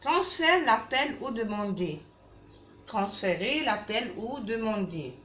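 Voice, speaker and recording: Woman teacher, slow and animated, roomy recording. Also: a little high. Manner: didactic, repeats phrases, switches into halting English. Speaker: French teacher. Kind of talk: language lesson for children, with repetition. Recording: roomy, muffled lo-fi, faint background noise.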